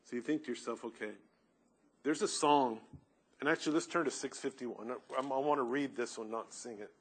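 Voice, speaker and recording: A man preaching a sermon, loud and earnest, reading hymn verses with rising force. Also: mid-range voice, moderate pace, slightly rough.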